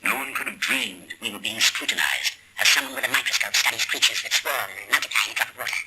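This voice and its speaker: A man's voice: mid-range voice, expressive, fast, bright, forceful tone. A man performing as the grave narrator of a science-fiction tale, in dramatic scripted narration. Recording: noisy playback through a small speaker.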